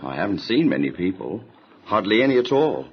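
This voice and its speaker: An actor playing an earnest, obedient biblical patriarch and husband in an audio drama, with a husky, declamatory delivery. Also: male voice, lowish voice, big pitch swings, fairly quick, slight background noise. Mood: pondering. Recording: dull lo-fi radio drama recording.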